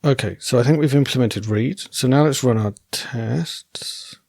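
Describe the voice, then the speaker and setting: Husky man live coding, voice going. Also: mid-range voice, a little slow, no room sound, studio quality. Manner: relaxed, self-correcting, wry asides. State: losing his voice. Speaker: programming tutor. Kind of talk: thinking aloud while live coding.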